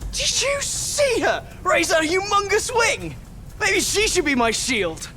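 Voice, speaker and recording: A performer playing a cheeky, excitable young assistant in a video game, irreverent in manner. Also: very high-pitched, takes it slowly, a little hiss or hum, full character voice. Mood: excited, cheeky. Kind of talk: video game cutscene acting.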